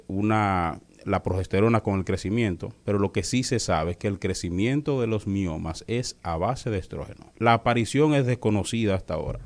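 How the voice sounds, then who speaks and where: A male doctor explains things as an interview guest, didactic and halting, often repeating words. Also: on the low side, a little mellow.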